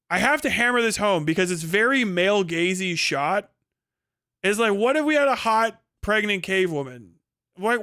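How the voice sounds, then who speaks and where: A man's voice: high-pitched, sharp tone, smooth, no room sound, clean studio sound. A man giving a casual, ranting review monologue as a livestream host, blunt, profane and exasperated, repeating points for emphasis.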